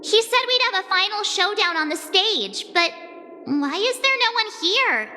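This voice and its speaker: An actress playing a perky, jittery young adventurer girl in a video game, speaking in an expressive, nervous way. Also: very high voice, a little slow, a little hiss or hum, heavily acted. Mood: uneasy, puzzled. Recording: reverberant, loud music behind.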